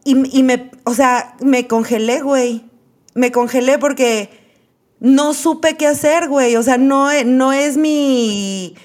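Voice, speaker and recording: A woman's voice: high voice, slow, darkish tone. A Mexican woman guest talking candidly and emphatically, full of slang. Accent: Mexican Spanish. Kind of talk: casual discussion.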